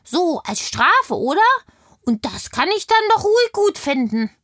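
A woman's voice: high voice, big pitch swings, unhurried, fairly bright tone, dry recording, studio quality, exaggerated voice. A woman voicing a chatty, indignant little kindergarten girl in a children's audio play, telling things with childlike eagerness. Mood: gleeful, questioning.

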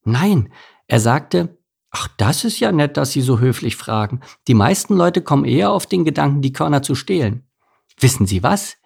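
A man's voice: medium pitch, very animated, normal speed, gravelly, darkish tone, slightly rough, voice pushed up, exaggerated voice. A man voicing a cheerful, chatty female mouse in a children's story, pleased with herself. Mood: happy, proud.

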